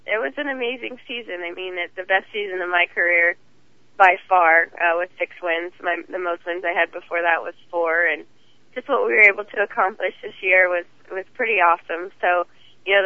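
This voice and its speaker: A hoarse woman with a cold, talking as the guest in a phone interview, chatty and rambling. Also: fairly low pitch, dry recording. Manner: chatty, rambling, laughs easily. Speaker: guest champion driver. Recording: tinny phone line.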